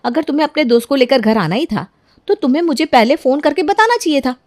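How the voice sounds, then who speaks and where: A young housewife from a moral story , acted by a woman, always justifying herself and ready to argue. Her voice is rather high, recorded dry and close. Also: animated, fast, a little mellow, dry recording. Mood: huffy, annoyed. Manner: self-justifying, argumentative. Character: careless, defensive young housewife daughter-in-law.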